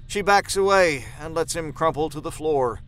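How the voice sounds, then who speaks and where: A man's voice: fairly high pitch, normal speed, fairly bright tone. A man narrating a horror story from a script.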